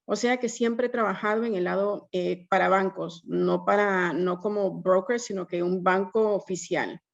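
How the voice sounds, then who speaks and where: Guatemalan woman in her fifties, gravelly. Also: mid-range voice, fairly even intonation, moderate pace. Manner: conversational, mixes English fillers into Spanish. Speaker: mortgage loan officer, guest. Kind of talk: webinar self-introduction. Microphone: video call. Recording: dull lo-fi video call audio.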